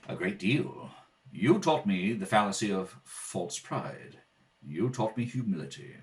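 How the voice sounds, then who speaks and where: A man playing a low-voiced, dignified butler, heard from off-mic. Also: sing-song, recorded from a distance, slight background noise. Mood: gentle, grave. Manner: polite, formal, measured, hesitant at times. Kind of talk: amateur radio play read-through. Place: at a kitchen table at home.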